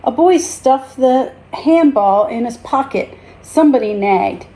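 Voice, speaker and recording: A woman reading a children's story aloud as a teacher, in a deliberate, expressive storytelling manner. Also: high-pitched, rough recording.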